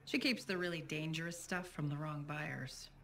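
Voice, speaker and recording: A woman's voice: low-pitched, moderate pace, a bit bright. A woman voicing a cool, businesslike spymaster in a video game, composed and matter-of-fact. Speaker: intelligence agent handler.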